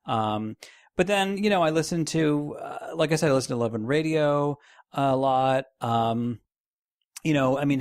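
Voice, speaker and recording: A man's voice: medium pitch, a little mellow. A man in his forties talking casually as a podcast guest, thinking aloud and often restarting his sentences. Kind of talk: casual panel chat.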